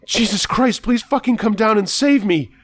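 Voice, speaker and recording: A man's voice: sits high, moderate pace, no echo, voice pushed up. A man voicing a panicked, bleeding man who screams out prayers in a frantic, wailing voice. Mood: frantic screaming.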